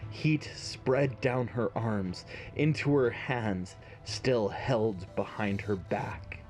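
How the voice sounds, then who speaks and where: Slow, low-voiced male story narrator. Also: darkish tone, faint music behind. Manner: measured, dramatic. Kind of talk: dramatic scripted story narration.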